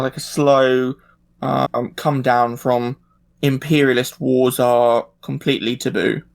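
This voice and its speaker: Creaky-voiced man in casual discussion. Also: mid-range voice. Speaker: panelist.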